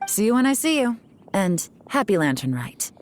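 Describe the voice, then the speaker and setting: A woman's voice: mid-range voice, big pitch swings, moderate pace, noisy background, lightly acted. A woman voicing a stern, self-assured female investigator in a video game, commanding, composed and wry. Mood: composed, friendly. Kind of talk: dramatic video game voice acting.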